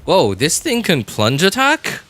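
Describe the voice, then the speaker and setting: A man chatting casually as he streams a game, rambling and halting as he hunts for words in a self-deprecating way. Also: a little high, wildly swooping pitch, a bit bright. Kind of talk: casual livestream chat while gaming.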